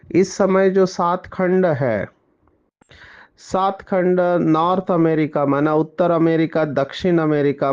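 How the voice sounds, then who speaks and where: A man giving a spiritual discourse as a teacher, explaining slowly and mixing in English words. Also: on the high side, a little mellow, highs cut off.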